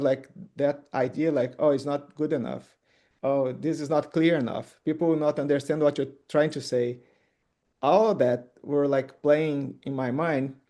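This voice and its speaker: A middle-aged man whose native language is Portuguese, giving an informal personal testimony in a halting, conversational way, rephrasing in short bursts. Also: a little high, dark tone.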